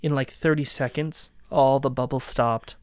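A man acting a cold, gloating killer who calmly taunts his ex in a dark audio drama. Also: mid-range voice, no echo, studio quality, close to an everyday voice. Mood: gloating, casual. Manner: casual, calmly taunting, unhurried menace.

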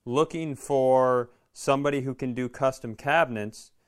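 A man's voice: mid-range voice, moderate pace, clear, resonant tone. A man co-hosting a comedy podcast, talking in casual banter and profane, exasperated rants. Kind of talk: casual comic banter and ranting.

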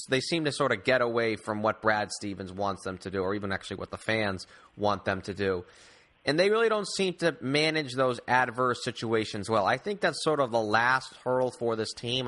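A man's voice: medium pitch, expressive, brisk, fairly bright tone. A man hosting a casual sports discussion in a conversational way. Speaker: sports podcast host.

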